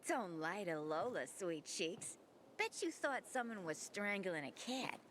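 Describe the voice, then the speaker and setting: An actress voicing a flamboyant, vain diva singer who talks about herself in the third person, in video game dialogue. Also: female voice, medium pitch, very animated, unhurried, fairly bright tone, slight background noise. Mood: theatrical.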